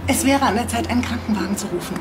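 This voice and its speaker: An actress playing a dry, sardonic forensic doctor in a TV crime comedy. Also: female voice, fairly high pitch, very fast, natural voice. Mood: dry sarcasm. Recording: echoey room, loud music behind.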